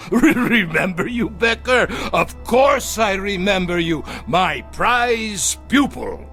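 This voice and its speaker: A haunted ex-captain in old television drama style, his voice fairly high and swinging widely in pitch. He halts and blusters defensively, taking his time over words, with music clearly playing behind him. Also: very animated, takes it slowly, fairly bright tone, full character voice. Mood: jovial disbelief. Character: haunted former SS captain, evasive and agitated. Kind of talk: vintage television drama acting.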